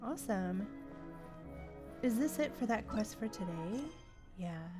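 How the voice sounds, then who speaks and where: A woman's voice: medium pitch, expressive, unhurried. A woman livestreamer giving casual, chatty gameplay commentary and reacting aloud to the game. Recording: game music behind.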